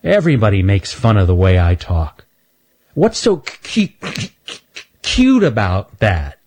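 A man's voice: low voice, sing-song, fairly quick, dark tone, full character voice. A man voicing a shy young male squirrel who stutters hard on the first sounds of words. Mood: hurt, stammering.

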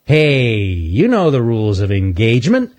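A man's voice: mid-range voice, huge pitch swings, measured pace, a little mellow. A man voicing a cocky teasing chipmunk. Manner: teasing, breezy. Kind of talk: storybook character voice.

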